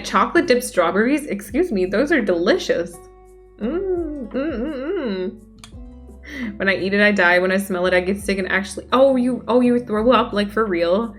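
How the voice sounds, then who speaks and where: A young woman from Denmark, chatting casually as she reads out and answers viewer messages on a stream. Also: medium pitch. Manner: chatty, reacts aloud to chat. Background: faint music.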